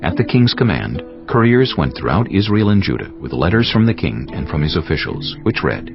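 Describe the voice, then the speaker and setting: An extremely low male voice with a gravelly creak narrates dramatized scripture. His delivery is solemn and measured, yet moves widely in pitch. Music plays clearly beneath the slightly muffled recording. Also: big pitch swings.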